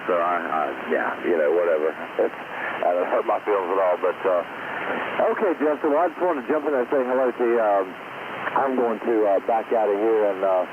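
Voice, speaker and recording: A man chatting casually over amateur radio in a rambling, halting way, heard through a two-way radio. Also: fairly low pitch. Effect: two-way radio transmission. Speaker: amateur radio operator calling in. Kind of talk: casual ham radio chat. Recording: hissy, noisy narrow-band radio link.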